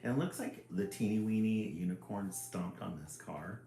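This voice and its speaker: A man hosting a casual, expressive storybook read-aloud and adding his own chatty asides. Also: lowish voice, normal speed. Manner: chuckling, expressive. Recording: roomy echo, some background noise.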